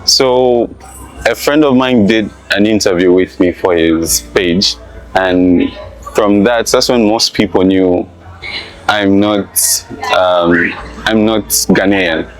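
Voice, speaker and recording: A man's voice: low-pitched, slow, poor audio. A young man with a Zambian accent who gives casual interview answers as a student. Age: young adult.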